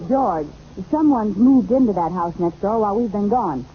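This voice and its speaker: An American actress playing a vain, playful, wisecracking suburban wife in an old radio comedy. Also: female voice, medium pitch, expressive, normal speed, natural voice. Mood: wry. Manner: theatrical, wisecracking. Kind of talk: 1940s-50s radio comedy acting. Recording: muffled, noisy old radio recording.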